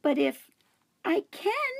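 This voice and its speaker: Tiny squeaky hamster guy, way up high, dramatic storybook voice, a lady putting him on. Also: very animated, slow, gravelly, exaggerated voice. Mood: squeaky, urgent. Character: small excitable male classroom hamster. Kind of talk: children's storybook reading.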